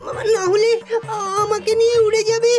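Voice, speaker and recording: Shrill, whimpering cartoon court poet. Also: male voice, completely flat delivery. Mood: panicked, wailing. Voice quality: shrill, whiny. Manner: drawn-out, singsong wailing. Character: fretful, whimpering male court poet speaking in rhyme. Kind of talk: exaggerated comic cartoon acting. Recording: music behind the voice.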